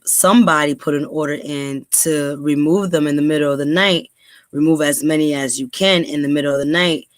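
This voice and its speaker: A woman's voice: deep voice, no room sound, clean studio sound. Earnest New woman vlogger. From New York, USA. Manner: earnest, many ums and repeats. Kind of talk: casual talk to camera, then reading aloud.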